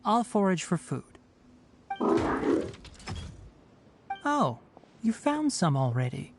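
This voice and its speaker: Lowish, lively young male ranger. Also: measured pace, creaky, background noise, full character voice. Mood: instructive, dry. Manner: instructive, matter-of-fact, dry. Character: youthful male forest ranger, knowledgeable, practical, gently dry. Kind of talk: video game character voice acting.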